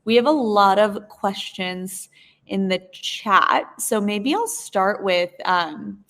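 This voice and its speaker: A woman's voice: mid-range voice, animated, slow, a bit bright. A woman hosting a conversational business interview in an informal, enthusiastic way.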